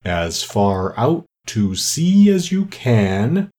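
A man voicing a weepy, sentimental sea turtle in a children's storybook, with slow, drawn-out, mournful delivery. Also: on the low side, sing-song, darkish tone, heavily acted. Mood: excited, plaintive.

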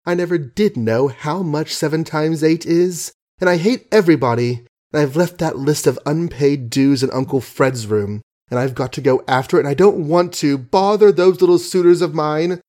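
A man's voice: on the high side, normal speed, few pauses, darkish tone, pitched above the performer's own voice, lightly acted. A man voicing a proud, temperamental young heiress, speaking in a petulant, exasperated way.

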